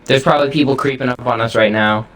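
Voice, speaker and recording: A man talks casually over game voice chat, keeping close to a new teammate. A faint hiss sits under his voice, which lies in a middle pitch for a man. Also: fairly even intonation, fast, a bit bright. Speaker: gamer.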